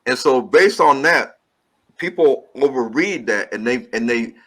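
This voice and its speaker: Guy filmmaker explaining stuff, keeps restarting, bright forceful tone. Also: medium pitch, lively intonation, unhurried. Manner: long earnest explanations with repeated restarts. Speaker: filmmaker guest. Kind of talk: interview answers.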